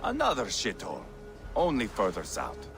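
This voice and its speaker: A man's voice: fairly low pitch, wildly swooping pitch, normal speed, creaky, music in the background, exaggerated voice. An actor playing a cruel, bloodthirsty Viking warrior in a video game, mocking and foul-mouthed as he savours his words. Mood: scornful menace. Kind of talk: dramatic video game voice acting.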